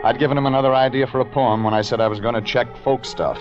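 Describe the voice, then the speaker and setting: A man playing a laconic, wry private detective in a 1940s radio drama, terse and deadpan. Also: medium pitch, quick pace, close to an everyday voice. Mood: deadpan narrating. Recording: muffled vintage radio recording, music behind.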